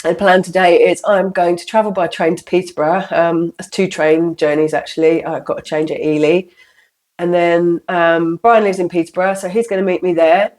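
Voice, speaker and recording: A woman vlogger from England, croaky from a cold, chatting casually with fillers and small laughs. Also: lowish voice, fairly even intonation, normal speed, darkish tone. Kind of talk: casual vlog.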